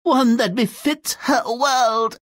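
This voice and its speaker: A woman's voice: on the high side, fairly even intonation, slow, dry recording, studio quality. A woman playing a haughty fairy-tale queen in a video game, with a theatrical, demanding delivery. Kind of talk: video game character acting.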